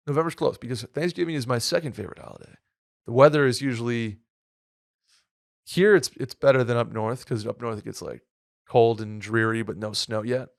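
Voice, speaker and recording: A man co-hosting a podcast in casual chat, with a hoarse, slightly nasal voice and a rambling, upbeat way of talking. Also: medium pitch, a little fast, no echo, clean studio sound. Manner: rambling, filler-heavy, upbeat. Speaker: podcast co-host.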